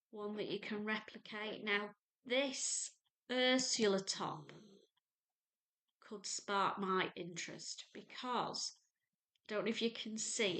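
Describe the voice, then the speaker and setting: A woman in her fifties with a North Lancashire accent talks at an easy, unhurried pace, chatty and homely. Her pitch sits in a middle range. She speaks to a desk webcam in her home sewing room. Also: sharp tone. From England. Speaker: sewing vlogger. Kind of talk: casual chat to camera and screen walkthrough.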